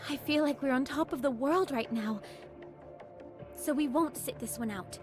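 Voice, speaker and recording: A woman's voice: sits high, moderate pace, music in the background, lightly acted. An actress playing a spirited, determined female squad leader in an anime game, earnest and slightly formal. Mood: confident, commanding. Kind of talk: scripted anime game voice acting.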